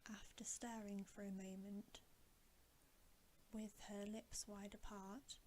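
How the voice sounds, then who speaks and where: A woman reading a bedtime story as ASMR in a breathy whisper. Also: medium pitch, flat delivery, slow, a little mellow, a little hiss or hum.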